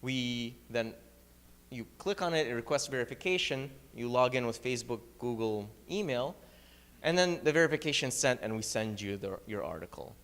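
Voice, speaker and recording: A man with a Ukrainian accent giving a live slide presentation at a meetup, talking quickly with fillers and restarts. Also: medium pitch, expressive, a bit bright, long reverb tail. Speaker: startup founder presenting at a meetup. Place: meetup room.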